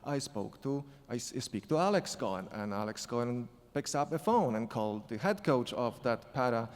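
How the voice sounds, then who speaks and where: A man's voice: mid-range voice, lively intonation. Guy with an accent telling a story on a panel, stops and restarts a lot, big echoey hall. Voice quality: non-native English accent. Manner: halting, many restarts and fillers. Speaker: sport psychology consultant on a panel. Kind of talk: storytelling conference panel talk. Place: conference hall.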